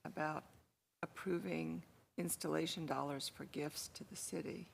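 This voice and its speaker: A woman commissioner speaking at a city meeting in a measured, deliberate way. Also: low voice, fairly even intonation, gravelly, slightly rough. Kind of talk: public meeting discussion. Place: council chamber.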